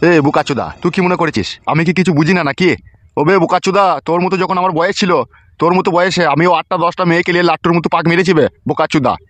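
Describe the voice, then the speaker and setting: A man's voice: high-pitched, fairly even intonation, rapid-fire, a bit bright, dry recording. A man playing a coarse, scolding, suspicious middle-aged village father in a comedy cartoon. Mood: irritated.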